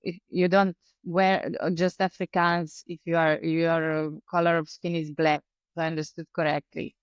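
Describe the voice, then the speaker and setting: A woman hosting a podcast interview, asking halting questions with frequent pauses and fillers. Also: low-pitched, level tone, smooth, dry recording. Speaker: podcast host.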